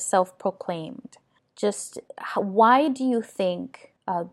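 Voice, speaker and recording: A woman conducting an interview in a mid-pitched voice. She asks long, winding questions with earnest feeling, often pausing on ums. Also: a little mellow. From Venezuela. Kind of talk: conversational interview.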